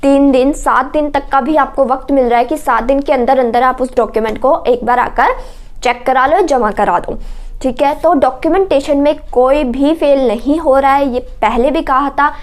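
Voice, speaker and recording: High-pitched woman explaining. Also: normal speed. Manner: instructive, reassuring, repeats points with check-in tags. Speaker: exam coaching presenter. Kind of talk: informational explainer for exam candidates.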